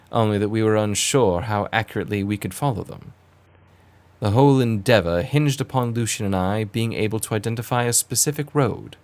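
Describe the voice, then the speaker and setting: Low-voiced man as a formal storytelling gentleman adventurer. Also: close to an everyday voice. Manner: formal, literary, measured phrasing. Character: male first-person narrator, genteel adventurer recounting a kidnapping case. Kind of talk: scripted period-story narration.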